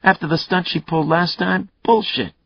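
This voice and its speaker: Man doing a high, mocking fugitive villain; crude, swaggering, loud; lo-fi audiobook sound. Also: moderate pace, gravelly, dry recording. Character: taunting male fugitive killer, mocking, unhinged.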